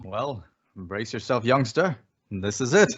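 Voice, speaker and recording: An actor as a folksy old man in a radio suspense play. He is easygoing and ingratiating, with a middling male pitch. The recording is a little dull. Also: very animated, a little slow, breathy edge, soft background music. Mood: knowing.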